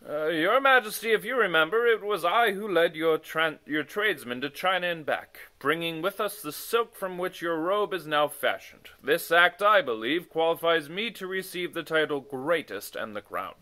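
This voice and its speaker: A man's voice: a little high, expressive, normal speed, hardly any pauses, bright, forceful tone, voice pushed up. A man voicing a boastful, eager royal messenger in a storybook, formal and self-promoting. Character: boastful, eager royal messenger pleading his own merit.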